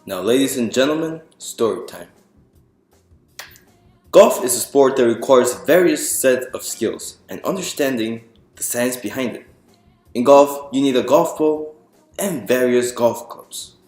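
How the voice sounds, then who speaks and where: A man reading a picture book aloud to an audience in a clear explanatory, presentational way, putting questions to the listeners. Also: medium pitch, darkish tone, reverberant. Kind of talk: storytime read-aloud to an audience.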